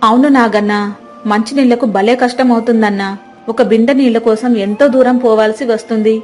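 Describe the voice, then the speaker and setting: A woman as a high-voiced burdened village woman. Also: steady tone, brisk, darkish tone, faint music behind, lightly acted. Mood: burdened complaint. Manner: colloquial, complaining.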